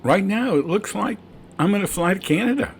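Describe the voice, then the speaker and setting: A man's voice: high-pitched, expressive, brisk. A man who is a private pilot, explaining his flight and making radio calls. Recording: cockpit and radio noise.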